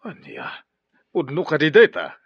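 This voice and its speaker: A man's voice: on the high side, very animated, a little slow, creaky, breathy edge, no room sound, full character voice. A man playing an anxious, well-meaning, troubled man in a radio drama. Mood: concerned. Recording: dull, dry studio sound.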